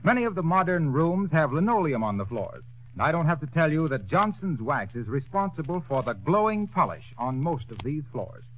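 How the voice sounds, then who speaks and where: Male announcer, declamatory old-time ad read, lively pitch, lo-fi radio sound. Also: normal speed. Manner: polished, declamatory sales delivery. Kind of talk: 1930s radio commercial and show announcing. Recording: dull old radio broadcast recording.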